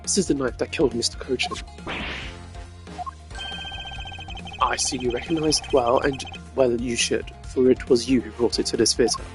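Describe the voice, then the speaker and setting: A man's voice: mid-range voice, fairly even intonation, brisk, over music, close to an everyday voice. A man voicing a determined, composed prosecutor in a video game, speaking formally and articulately. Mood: accusing.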